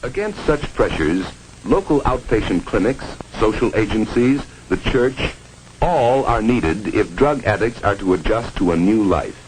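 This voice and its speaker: A man's voice: lowish voice, expressive, a little slow, rough recording. An American man reading a scripted 1950s educational film narration in a grave, formal, emphatic manner. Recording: old 1950s film soundtrack. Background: music.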